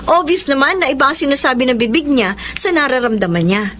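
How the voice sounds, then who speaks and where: High, animated jealous young girlfriend. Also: fast talker, a little hiss or hum. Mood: defiant, exasperated. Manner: emotional, code-switches into English. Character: jealous, insecure young woman in love with her boyfriend. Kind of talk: Tagalog radio romance drama acting and narration. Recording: music bed under the voice.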